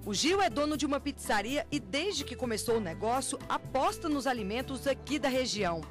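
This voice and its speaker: A woman's voice: high voice, expressive, brisk, over music. Brazilian woman reporter narrating. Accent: Brazilian Portuguese. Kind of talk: scripted news narration.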